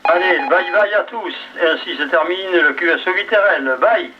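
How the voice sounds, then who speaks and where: Chatty guy on ham radio, kinda high voice, muffled far-off sound. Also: moderate pace, over music. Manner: chatty, joking. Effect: two-way radio transmission. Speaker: amateur radio operator. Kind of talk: casual radio chat with sign-offs. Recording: dull, noisy ham radio relay, distant.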